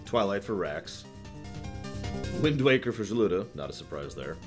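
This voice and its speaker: Animated low-voiced man hosting a livestream. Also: moderate pace. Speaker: live stream host. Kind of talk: casual livestream talk to chat, answering viewer questions. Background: background music.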